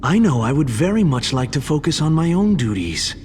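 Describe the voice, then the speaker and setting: An actor playing a stern, aloof, dutiful officer in a video game, speaking formally and curtly with disapproval. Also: male voice, a little high, big pitch swings, brisk, a little mellow, big-room sound, slight background noise. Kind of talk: video game cutscene acting.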